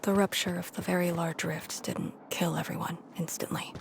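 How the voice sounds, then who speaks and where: An actress playing a haunted, wistful young woman in a video game, speaking softly and hesitantly in a breathy near-whisper. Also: on the low side, little pitch movement, a little hiss or hum. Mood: somber. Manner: slow, hesitant, pensive. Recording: music behind the voice. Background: background music.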